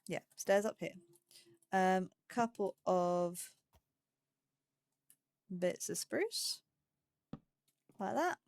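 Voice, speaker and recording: Woman doing a chill lets play, takes her time, chatty and kinda playful, thinking out loud. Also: fairly low pitch. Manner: chatty thinking aloud, playful cutesy wording. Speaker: let's play host. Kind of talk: casual gaming commentary.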